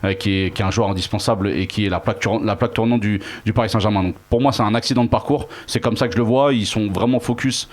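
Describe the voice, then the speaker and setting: A male football pundit speaks in a fairly low voice. He talks quickly as he gives unscripted analysis in a panel discussion.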